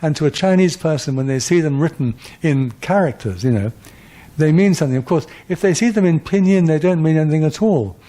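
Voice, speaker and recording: An older man in his seventies takes audience questions after a lecture. His voice is mellow and dark, and he talks in a loose, discursive way with a dry, self-mocking humour. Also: on the high side. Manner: discursive, wry and self-deprecating. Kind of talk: conversational lecture Q&A.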